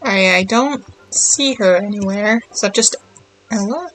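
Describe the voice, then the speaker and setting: A woman's voice: medium pitch, unhurried, fairly bright tone, soft background music, low-quality recording. A woman chatting casually as she streams a game, rambling and thinking aloud. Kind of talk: casual livestream chat while playing.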